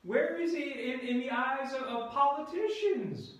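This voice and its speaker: Slow, high-pitched male preacher in echoey room. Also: animated. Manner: halting, deliberate delivery with repeated words and pauses. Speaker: pastor. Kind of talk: sermon. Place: indoors in a church at the front by a screen.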